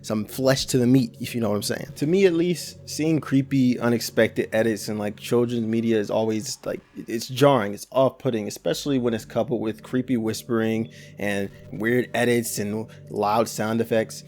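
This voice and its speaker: A young man with a gravelly, creaky voice talks through a horror series in casual, rambling commentary. He often restarts phrases and drops slang. His pitch sits in an ordinary mid range. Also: moderate pace, few pauses, darkish tone, a little hiss or hum. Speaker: YouTube commentator.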